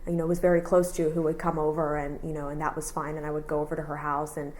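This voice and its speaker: A woman in her thirties from Texas, whose first language was Cantonese, answers questions in an oral history interview. Her voice sits a little low, and she talks in a loose, rambling way, stringing thoughts together with plenty of fillers. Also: quick pace. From Houston, Texas. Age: 30s. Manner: relaxed, rambling, many "you know" fillers.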